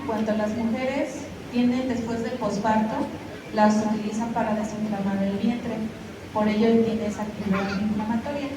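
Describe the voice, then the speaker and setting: A woman whose first language is Mixtec gives an earnest, measured science talk. Her voice is picked up from a distance in a big echoing hall, with long reverb and clear background noise behind it. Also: on the high side. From Guerrero, Mexico. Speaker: graduate student presenter. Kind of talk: academic presentation. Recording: roomy hall echo, distant mic, noisy.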